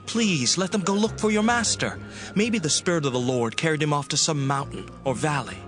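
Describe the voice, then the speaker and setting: An actor playing an eager, respectful follower of the prophets in a Bible audio drama, pleading deferentially. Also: male voice, fairly high pitch, a little fast, fairly bright tone, faint music behind, natural voice. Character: eager, respectful man among Jericho's prophets.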